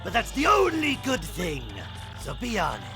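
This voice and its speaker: Gloating cartoon villain spirit, real swoopy creaky high voice, game music behind. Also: male voice, huge pitch swings, moderate pace, full character voice. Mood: grudging explaining. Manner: gloating, theatrical. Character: gleeful taunting male curse-casting villain spirit. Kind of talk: cartoonish video game dub acting.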